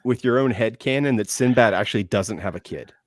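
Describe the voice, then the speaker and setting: A man's voice: fairly low pitch, fairly quick, no room sound, clean studio sound. A man chatting casually as a panelist in a wry, joking manner. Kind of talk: casual chat.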